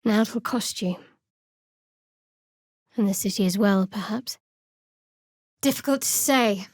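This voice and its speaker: A woman voicing a guarded, sardonic young cleric of a dark goddess in a video game, with dry, clipped, wry delivery. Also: mid-range voice, takes it slowly, dry recording. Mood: wry, measured. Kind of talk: video game character dialogue.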